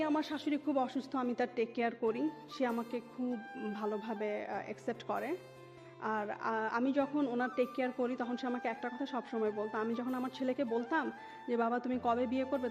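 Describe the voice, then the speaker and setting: A woman with a Bangladeshi accent recounts her own life as an interview guest. Her voice sits fairly high and she slips English words into her sentences. The recording is a bit muffled, with music clearly playing underneath. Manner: mixes English words and phrases into talk. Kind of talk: personal storytelling in an interview.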